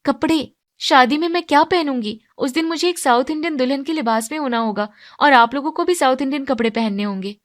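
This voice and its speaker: A woman voicing an eager, cheerful young bride-to-be daughter in a cartoon moral story, chatty and bright in manner. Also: high-pitched, brisk, voice pushed up, lightly acted. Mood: eager, fretting.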